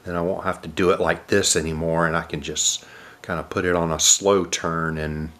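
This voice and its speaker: A man with a cold, sounding nasal, casually narrating an unboxing of model airplanes with many filler sounds and throat clearing. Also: sits low, little pitch movement, moderate pace, fairly bright tone.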